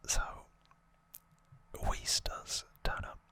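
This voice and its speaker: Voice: very slow, pushed and bright. An ASMR host whispering an unscripted story recap in a hesitant, slightly wry way.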